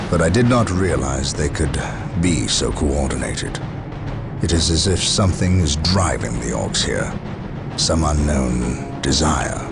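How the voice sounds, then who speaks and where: An actor playing a stern space marine commander in a video game, speaking with formal, authoritative military diction. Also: male voice, a bass voice, heavily acted. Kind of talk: video game cutscene acting. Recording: loud music behind.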